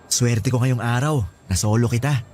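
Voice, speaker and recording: A man's voice: mid-range voice, normal speed, a little mellow, lightly acted. A man acting as an earnest young student boyfriend, pleading and insecure, in a Filipino radio romance drama with a Filipino accent. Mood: smitten, confident.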